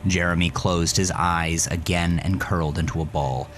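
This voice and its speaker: A man's voice: sits low, fairly even intonation, moderate pace. A man narrating a horror story with brooding intensity.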